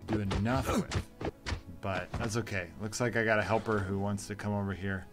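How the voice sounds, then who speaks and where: A man's voice: fairly low pitch, steady tone, moderate pace, music in the background. A man in his thirties hosting a gaming channel, chatting playfully while he plays. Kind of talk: casual gameplay commentary. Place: home recording room.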